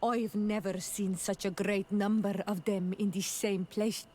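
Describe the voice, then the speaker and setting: A woman's voice: mid-range voice, level tone, a little slow, dark tone, a little hiss or hum, close to an everyday voice. A woman playing a reserved native islander loyal to tradition in a fantasy video game, speaking earnestly and formally. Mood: grave, reserved. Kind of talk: video game dialogue acting.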